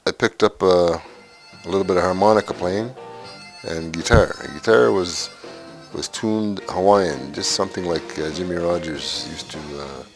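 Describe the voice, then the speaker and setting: Deep-voiced older man reminiscing. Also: faint music behind. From Canada. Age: 60s. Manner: unhurried and halting, with frequent "uh" pauses. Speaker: interviewee in a documentary. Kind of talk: reminiscing life story.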